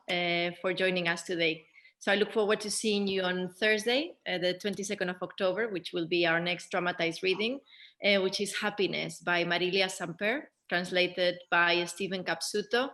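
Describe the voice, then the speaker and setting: A woman's voice: on the low side, bright, forceful tone. A woman speaking as the host and moderator of a Q&A. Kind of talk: hosting a Q&A.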